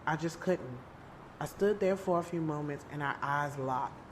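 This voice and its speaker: A woman's voice: low-pitched, normal speed, slight background noise, natural voice. A woman voicing a blunt, sassy young woman. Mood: reflective. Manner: frank, defensive, sassy. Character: blunt young woman avoiding a love triangle. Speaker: narrator and lead character. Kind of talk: audio drama acting with first-person narration. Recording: music playing under the voice. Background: background music.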